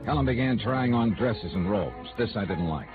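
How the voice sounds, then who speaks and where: An actor playing a wry, hard-boiled insurance investigator, narrating in dry, clipped 1950s radio noir style. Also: male voice, lowish voice, expressive, normal speed, natural voice. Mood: tense, wary. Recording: tinny old radio recording with music.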